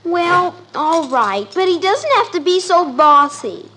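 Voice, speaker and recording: An actress playing a pert, nosy little sister with blunt, childlike delivery in a 1950s radio comedy. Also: female voice, very high voice, very animated, takes it slowly, bright, forceful tone, heavily acted. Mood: defiant. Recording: very noisy old radio broadcast.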